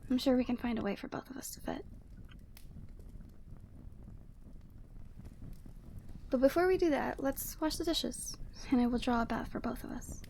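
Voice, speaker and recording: Woman acting a high-voiced, proud yeti woman. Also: dark tone, a little hiss or hum. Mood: bossy, warm. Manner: commanding yet tender, teasing, often dropping to breathy whispers. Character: proud, lonely yeti woman, teasing and motherly toward a human. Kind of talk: ASMR roleplay, close and slow character acting.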